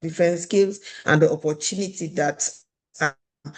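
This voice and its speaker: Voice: medium pitch, fairly even intonation, normal speed, low-quality recording. An advisory board chair from Cameroon gives acceptance remarks, speaking with many restarts.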